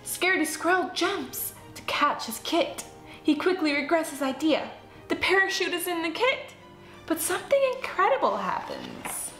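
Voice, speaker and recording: A woman reading a children's storybook aloud in an expressive, dramatic way for young listeners. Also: very high voice, moderate pace. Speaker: children's book read-aloud host. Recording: roomy echo with music behind. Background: music.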